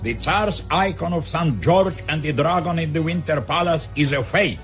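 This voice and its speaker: An actor playing a stern, commanding Soviet leader in a radio drama, with a blunt, imperious delivery. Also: male voice, fairly high pitch, moderate pace, music in the background, lightly acted.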